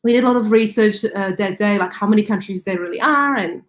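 A woman's voice: medium pitch, fast talker. Guest: woman with Slovak accent, friendly and explanatory, webcam video call. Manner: friendly, explaining with many "like" fillers. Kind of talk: casual interview chat.

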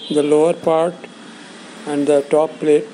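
A man giving a scripted instructional narration in a measured, explanatory way, in short phrases. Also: a little high, little pitch movement, warm tone, a little hiss or hum, poor audio. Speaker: instructor presenting components.

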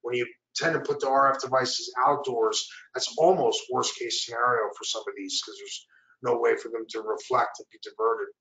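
A man's voice: medium pitch, steady tone, moderate pace, bright, forceful tone. A middle-aged man giving an informal product presentation on a webinar from a small room. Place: small indoor room, video call. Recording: roomy echo, distant microphone.

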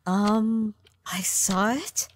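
A woman voicing a guarded young female skeleton, soft-spoken and halting, in a comic dub. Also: mid-range voice, slight background noise. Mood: tentative. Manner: hesitant, halting.

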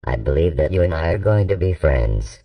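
A man voicing an emotionless, courteous male artificial intelligence in an acted audiobook, measured and formal. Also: low voice, very animated, vocal fry, distant mic, dull audio, voice pushed down, full character voice. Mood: calm, emotionless.

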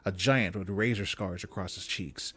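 A man giving an expressive literary reading of a story, with dramatic, deliberate phrasing. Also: sits low, breathy edge. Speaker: story reader. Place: small room with bookshelves, indoors. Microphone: close studio microphone on desk.

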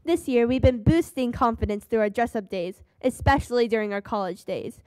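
A young student presenter reading a school report aloud at a desk microphone, with small slips. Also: female voice, a little high, brisk, a little mellow, dry recording.

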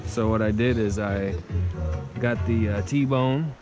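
Slow-paced American man talking outdoors. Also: on the low side, over music. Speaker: outdoor vlogger. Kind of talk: casual first-person vlog commentary. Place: outdoors in woods beside a river. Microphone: body-worn action camera.

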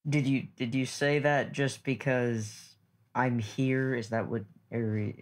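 A man bantering casually as a player on a tabletop game podcast in a deadpan manner. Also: mid-range voice, slow.

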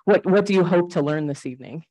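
A woman giving an informal talk on native plants in a library, loud and friendly, asking the audience questions. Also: lowish voice, fast talker, low-quality recording. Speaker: guest speaker on native plants. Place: library program room.

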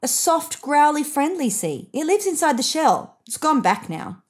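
Woman voicing an excitable high-pitched little boy. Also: unhurried. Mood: earnest. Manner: exclaiming, emphatic, childlike. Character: young boy, excitable, earnest, full of wonder.

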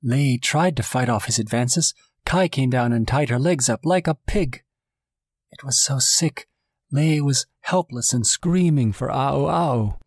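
A man's voice: mid-range voice, fairly even intonation, slow pace, a little mellow, breathy edge, no echo, studio quality, voice pushed up. A man voicing frantic, grief-stricken island women in an audiobook story, urgent and distraught. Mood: hysterical, horrified.

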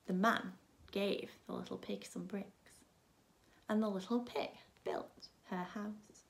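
A woman's voice: mid-range voice, flat delivery, slow pace, vocal fry, low-quality recording. A woman in her thirties, a primary school teacher, reading expressively to young children. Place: small furnished room at home, indoors.

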